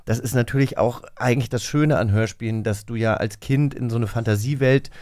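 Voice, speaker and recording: A man's voice: mid-range voice, a little fast, a little mellow, no room sound. A man speaking as an interview host, chatting informally in a studio. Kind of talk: casual studio conversation. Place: recording studio.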